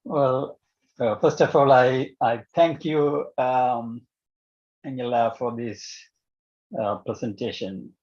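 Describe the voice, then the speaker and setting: Dull online meeting audio carries a man's medium-pitched voice. He gives feedback to a group, stopping and starting and circling back as he goes. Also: steady tone, a little mellow. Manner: halting, wordy, circling back.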